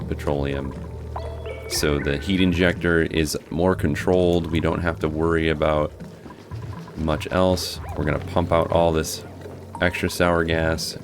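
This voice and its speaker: A hoarse man casually talking through his gameplay as a guide host, thinking aloud as he plays. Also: extremely low pitch. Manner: thinking aloud, relaxed, restarts. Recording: music playing behind.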